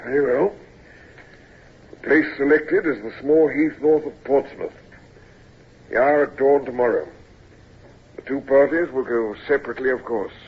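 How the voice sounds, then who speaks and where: An actor playing a stern, anxious older naval officer in an old radio drama, speaking formally and with command. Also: male voice, mid-range voice, normal speed, pauses often, close to an everyday voice. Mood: grave, urging. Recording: muffled, husky-sounding old radio recording.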